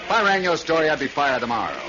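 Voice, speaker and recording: An actor playing a dismissive, matter-of-fact newspaper editor in a 1940s radio drama. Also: male voice, on the high side, very animated, racing, a crowd in the background. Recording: muffled, noisy old radio broadcast.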